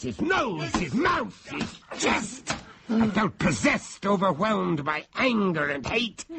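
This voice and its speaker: An actor playing a polite, anxious gentleman who narrates his own horror tale in a radio play. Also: on the high side, slight background noise, full character voice. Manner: courteous, hesitant in talk, intense when narrating.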